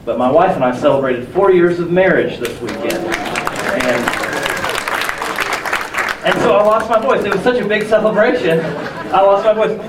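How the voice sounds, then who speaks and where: Hoarse young man preaching. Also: high voice, normal speed, long pauses, a loud crowd, heavy background noise. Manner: conversational, self-deprecating, many restarts. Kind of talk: sermon. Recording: echoey room from far off with faint ambience.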